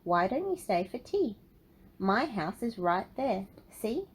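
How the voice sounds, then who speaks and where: A woman voicing a gentle, friendly mouse in a children's storybook. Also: medium pitch, sing-song, slow, slightly rough, exaggerated voice. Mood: welcoming.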